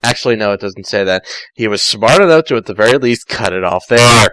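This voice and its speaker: A man's voice: fairly low pitch, lively intonation, a little fast, fairly bright tone, smooth, no echo, poor audio. A man giving an amateur dramatic story reading, stumbling, correcting himself and dropping in asides. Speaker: fan fiction reader.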